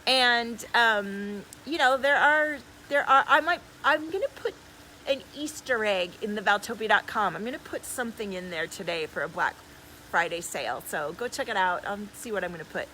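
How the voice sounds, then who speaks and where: A woman's voice: medium pitch, animated, moderate pace, pushed and bright, rough recording. A woman speaking effusively and emphatically in casual new-age coaching style on a livestream, as a healer and host. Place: outdoors in the desert. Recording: clear background noise, outdoors.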